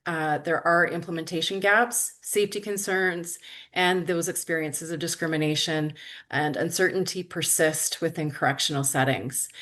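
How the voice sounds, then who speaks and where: A woman presents to a conference audience in a measured, note-reading way, pausing on fillers. Her voice sits fairly low. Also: fairly bright tone. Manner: measured, reads from notes with fillers.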